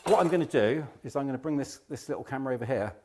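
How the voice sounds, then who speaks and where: A man's voice: medium pitch, very fast, warm tone, slight background noise. An English man hosting a live cooking show, chatting casually as he cooks, with many ums and restarts. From England. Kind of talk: casual chat while cooking.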